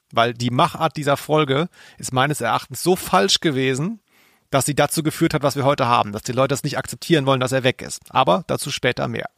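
A man's voice: mid-range voice, fairly bright tone, no room sound, studio quality. A man co-hosting a podcast, chatting in a casual, analytical style. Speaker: podcast co-host.

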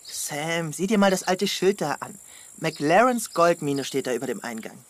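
A cheeky, inquisitive boy figure acted by a man for a children's radio play. His voice is slightly high, with very lively intonation. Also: moderate pace, no echo, close to an everyday voice. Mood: curious. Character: cheeky, curious boy toy figure come alive.

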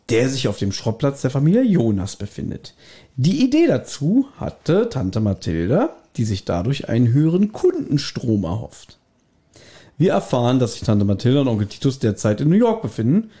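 A man's voice: mid-range voice, big pitch swings, moderate pace, warm tone. A man hosting a podcast, giving a casual recap partly read from his notes, with playful irony. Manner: playfully ironic. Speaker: podcast host.

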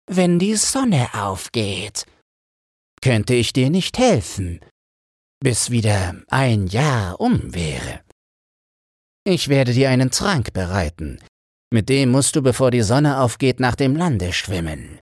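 Male reader as old fairy-tale sea witch, scornful, gloating, theatrical, slow drawl, highly animated pitch. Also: no room sound, studio quality. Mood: scheming. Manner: mocking, theatrical, drawn out.

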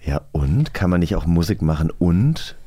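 A man's voice: low voice, very animated, normal speed, warm tone, slightly rough. A German man co-hosting a podcast in a casual, playful quiz style. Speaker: podcast co-host.

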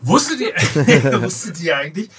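A man co-hosting a casual football podcast, excitable and stumbling into his points, recorded a little away from the mic. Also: fairly high pitch, moderate pace, slightly rough. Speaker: podcast co-host. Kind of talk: casual football talk, bantering. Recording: distant mic with faint background noise.

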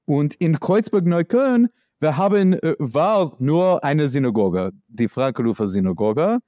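A man from the United States with an American accent, speaking in a halting, searching way with many fillers, answering as an interviewee. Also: on the high side, sing-song, warm tone, dry recording, studio quality. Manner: halting non-native speech with many fillers. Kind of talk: conversational interview.

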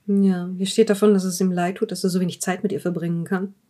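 A woman playing a curious, measured interviewer who examines a dead man's journal in an improvised audio drama. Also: lowish voice, flat delivery, dark tone, close to an everyday voice. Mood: probing.

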